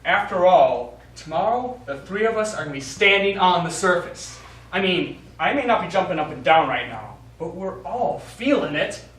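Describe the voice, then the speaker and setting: An actor playing a protective, peacemaking space mission commander in a stage play. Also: male voice, sits high, expressive, moderate pace, fairly bright tone. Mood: calming. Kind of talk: stage acting. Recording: very echoey distant stage recording, faint noise.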